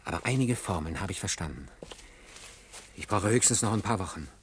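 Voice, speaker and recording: An obsessive, frustrated male junior programmer in a radio drama. His voice is fairly low and swings expressively as he talks intensely. Light noise and clicks from an old radio recording sit around it. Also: expressive, fairly quick. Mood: excited, earnest. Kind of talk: German science-fiction radio play acting.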